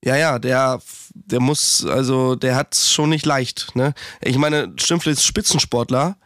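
A man's voice: mid-range voice, unhurried, a bit bright, no room sound, studio quality. A man co-hosting a casual podcast about heating repair, chatty and swearing, talking with a slight cold. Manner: chatty, swearing, rambling with restarts. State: has a cold. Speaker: podcast co-host, heating technician.

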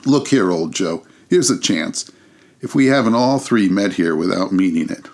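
A man voicing a bold, coarse charwoman in a storybook reading, rough-spoken and scornful. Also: low voice, expressive, moderate pace, darkish tone. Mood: brash.

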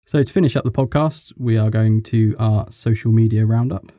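Lowish, very mellow male podcast co-host. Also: moderate pace, no room sound, studio quality. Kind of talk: casual podcast hosting.

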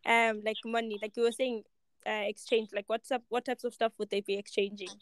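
A woman hosting a podcast in casual, excitable chat, with informal, slangy phrasing. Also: a little high, brisk, smooth, no echo, clean studio sound. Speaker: podcast host.

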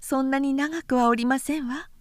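A woman narrator voicing a timid, refined, soft-spoken young lady in a literary audiobook. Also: high voice, steady tone, moderate pace, no room sound, studio quality, voice pushed up, natural voice. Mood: light, affectionate.

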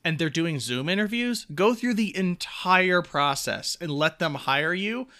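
A man's voice: high voice, lively intonation, unhurried, bright, forceful tone, clear, resonant tone. A man co-hosting a podcast in casual comedic banter, riffing animatedly and swearing freely. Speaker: podcast co-host.

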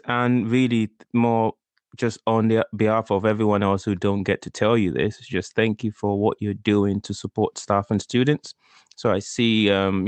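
A man's voice: medium pitch, no room sound. A man hosting a podcast in an informal, chatty, conversational way. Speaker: podcast host.

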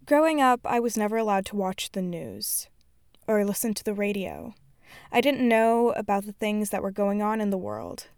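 A woman in her twenties with a smooth, clear voice at an average pitch. She reads reflectively, earnest and measured, in a dry, close recording. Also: darkish tone, dry recording. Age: 20s. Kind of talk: scripted personal reflection and reading.

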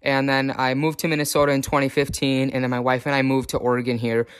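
Candid young man with a smooth voice. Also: mid-range voice, little pitch movement, fast talker. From Chicago area, USA. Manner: candid, upbeat, self-deprecating humor. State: voice changing on testosterone, occasional cracks. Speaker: vlogger. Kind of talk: casual personal vlog.

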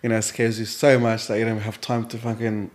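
A man's voice: fairly low pitch, little pitch movement, a little fast. A man who grew up in Africa speaks in an easygoing, rambling way on a podcast, giving advice. His talk is full of "you know" and "like" as he works through his point. He sounds like a friend in a casual group chat. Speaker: podcast guest.

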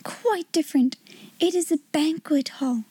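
A woman's voice: high voice, a little slow, mellow, no echo, voice pushed up. A woman voicing an imaginative, gracious young girl in a storybook, well-spoken and dreamily grand. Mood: dreamy, pleased.

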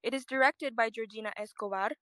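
A woman's voice: a little high, fast, a bit bright, smooth, no echo, clean studio sound. A woman hosting a podcast in a casual conversational style. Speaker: podcast host.

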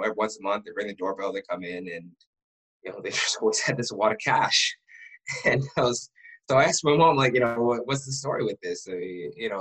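Man, from Ottawa, podcast guest, real estate broker, rambling, repeats words, fairly low voice. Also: a bit bright, low-quality recording. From Ottawa, Canada. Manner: rambling storyteller who often restarts words. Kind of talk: casual podcast interview.

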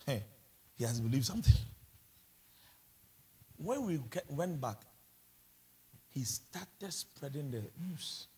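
A man's voice: on the high side, animated, takes it slowly, pauses often, warm tone, husky. A man from Ghana with a Ghanaian accent, preaching a sermon and mixing in humorous asides. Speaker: pastor.

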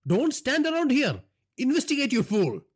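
A man voicing a cruel older male gang boss who jeers in a high, drawn-out voice. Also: very animated, a little mellow, exaggerated voice. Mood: roaring. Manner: mocking, drawn-out, falsetto-leaning jeers.

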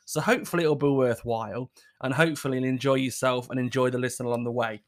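A man's voice: mid-range voice, fairly even intonation, fast talker. A man in his thirties from England hosting his own podcast, talking casually in a rambling, apologetic way with a scratchy, sore throat. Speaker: podcast host. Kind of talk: casual solo podcast chat.

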